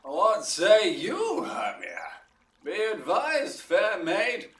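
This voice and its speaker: An actor playing a lordly, measured duke in a Shakespearean audio drama, with stately, declamatory delivery. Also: male voice, high-pitched, wildly swooping pitch, a bit bright, full character voice. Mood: grave, advising. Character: lordly, measured duke of Athens passing judgment. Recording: roomy echo, distant from mic.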